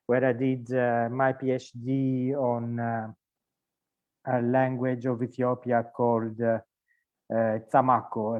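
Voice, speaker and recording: A man from Sicily with an Italian accent, presenting his linguistic research in a webinar from his home, speaking haltingly with many fillers and restarts. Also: mid-range voice. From Sicily, Italy. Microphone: video call. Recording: dull lo-fi video call audio.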